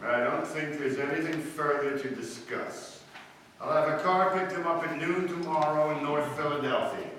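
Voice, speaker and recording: A man playing a suave, dry, self-possessed society gentleman in an old-time radio drama. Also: a little high, moderate pace, lightly acted. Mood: dryly curt. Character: suave, cool male society ex-husband. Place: live stage performance. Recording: echoey hall, distant mic, faint noise.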